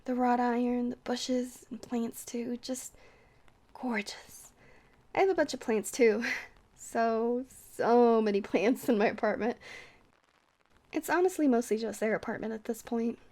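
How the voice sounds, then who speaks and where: A woman's voice: fairly high pitch, fairly even intonation, a little slow. A woman playing a shy, friendly vampire who rambles hesitantly and flirts sweetly in an ASMR roleplay. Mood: shy, warm. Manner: hesitant, rambling, soft-hearted, awkwardly stammering.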